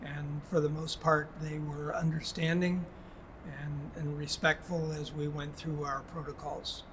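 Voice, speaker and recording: A man with a fairly high voice gives a formal address as a school official. He speaks very slowly and haltingly, choosing his words with care. There is faint noise behind him. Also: flat delivery, a bit bright, poor audio. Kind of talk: public address, prepared statement spoken haltingly.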